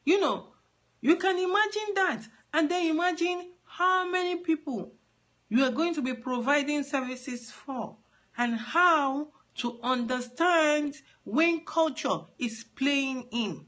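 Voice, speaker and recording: A Nigerian woman with a high, bright voice speaks earnestly into a phone for a live stream. She explains things patiently, often repeating a word to drive the point home. Her English carries the accent of a Yoruba speaker. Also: slow pace. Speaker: advocate for trafficking survivors. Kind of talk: live-streamed talk to followers.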